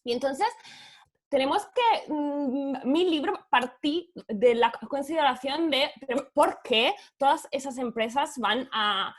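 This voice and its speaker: A woman's voice: high-pitched, expressive, takes it slowly. A woman whose first language is Italian, giving an academic panel talk in Spanish over a video call, hesitant and searching for words, with many fillers. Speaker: guest speaker, author.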